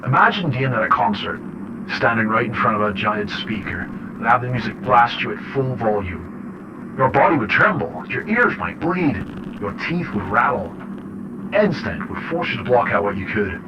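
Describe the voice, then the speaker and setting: A man playing an unsettled father who records a horror audio diary, speaking in deliberate, reflective and halting phrases. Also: mid-range voice, very animated, natural voice. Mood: disturbed, intense. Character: unsettled father recording eerie journal notes. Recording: muffled, noisy radio-like audio log.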